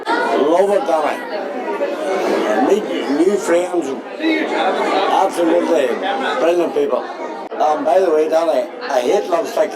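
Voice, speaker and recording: Slow, high-voiced man amid crowd. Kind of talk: casual banter. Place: large echoey indoor hall. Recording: echoey hall with loud crowd. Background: loud crowd.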